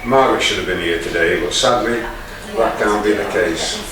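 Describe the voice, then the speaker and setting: A man's voice: on the low side, normal speed, a crowd in the background. A man giving a formal presentation speech on behalf of a community group. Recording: echoey room, distant mic, background noise.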